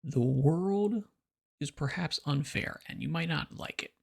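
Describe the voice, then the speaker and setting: Brief: American male pundit, sarcastic and lecturing, rough fry-heavy voice. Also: fairly high pitch, a little slow. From United States. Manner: blunt and sarcastic, lecturing the listener. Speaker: political commentator. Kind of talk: opinionated monologue.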